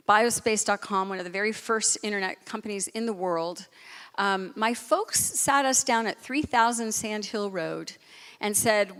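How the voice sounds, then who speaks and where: A woman gives an earnest welcome speech as co-host of a live event. Her phrasing halts and repeats, at a middling pitch. Long hall reverb surrounds her voice. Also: fairly bright tone. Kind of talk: spoken welcome speech on stage. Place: large reverberant venue.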